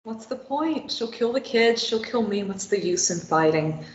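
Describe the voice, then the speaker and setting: A woman's voice: fairly high pitch, animated, moderate pace, slight background noise, close to an everyday voice. A woman voicing a guilt-ridden, grieving former addict in a table read of a stage play, speaking in a bitter, subdued, self-blaming way. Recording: dull echoey room sound.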